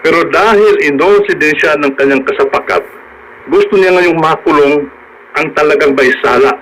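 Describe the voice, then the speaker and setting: An actor with a Filipino accent playing a calm, reassuring attorney who explains things in a measured radio drama manner. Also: male voice, a little high, a little hiss or hum, close to an everyday voice, poor audio. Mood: matter-of-fact. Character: calm, reassuring male attorney bringing good news.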